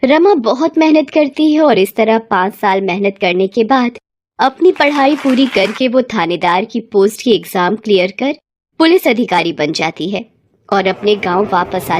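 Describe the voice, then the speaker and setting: A woman's voice: a little high, animated, unhurried, a little hiss or hum. A woman narrator reading a scripted moral bedtime story.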